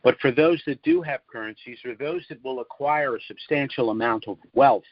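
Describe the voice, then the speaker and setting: A man with a mid-range voice gives explanatory commentary as a call presenter. He speaks in a deliberate, formal manner, pausing and hesitating as he lays out his points. The audio is dry and somewhat dull, like a lo-fi conference line. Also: medium pitch, no echo.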